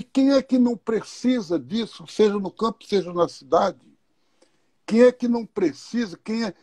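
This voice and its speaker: A man's voice: sits high, a little mellow, breathy edge, no room sound. A man speaking as a guest in a live online talk, explaining his views thoughtfully and posing questions as he goes. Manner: unhurried, thoughtful, uses questions and examples.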